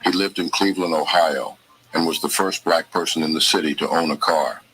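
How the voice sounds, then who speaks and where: A man narrating a countdown of inventions in a steady documentary reading. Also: rumbling low, fairly quick, noisy background. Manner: steady list-style reading.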